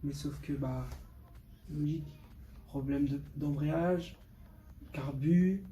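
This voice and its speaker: Teen guy from portugal, slangy casual vlog chat, mellow tone, bit of noise behind him. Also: fairly high pitch, slow pace, poor audio. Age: 15, teenager. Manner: slangy, repeats words for emphasis. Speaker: vlogger showing motorbike. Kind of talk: casual unscripted vlog talk. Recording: clear background noise.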